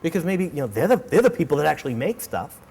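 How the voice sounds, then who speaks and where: A middle-aged man with a Boston accent, giving a keynote talk to students in an animated way, often repeating words and restarting sentences. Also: on the high side, fast talker, gravelly, noisy background. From Boston, United States. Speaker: keynote speaker. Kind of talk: energetic lecture to students. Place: auditorium.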